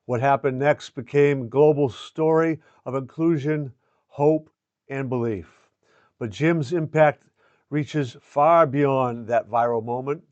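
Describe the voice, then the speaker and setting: An older man speaking as a show host and coach, with an earnest, deliberate way of talking. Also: mid-range voice, darkish tone, no echo, muffled recording. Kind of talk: scripted promo and introduction, then casual interviewing.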